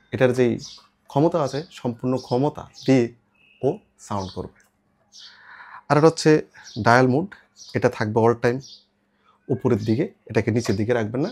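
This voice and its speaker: Male, Bangladeshi accent, mid-pitched. Seller's informal demo, instructional, English words mixed in, slightly noisy recording with clicks. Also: a little mellow. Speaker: shop seller presenting products. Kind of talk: informal product demonstration. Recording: clear background noise with clicks.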